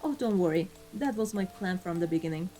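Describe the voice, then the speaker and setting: A woman playing an amused, ruthless vampire mafia boss in a scripted ASMR roleplay, speaking in a deliberate, theatrical, condescending way. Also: on the low side, expressive, warm tone, lightly acted. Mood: pleased, commanding. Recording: voice over background music.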